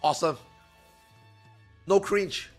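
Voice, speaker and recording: Male streamer, excitable, hoarse from talking, soft music in the background. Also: on the high side, slow pace. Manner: excitable, swears freely, reacts loudly to chat. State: losing his voice. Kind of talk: casual live-stream chat.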